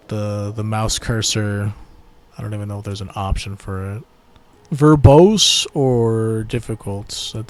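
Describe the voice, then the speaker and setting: A young adult man with a tired voice, giving laid-back, slangy gameplay commentary and swearing at mishaps. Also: fairly low pitch. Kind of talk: casual let's-play commentary.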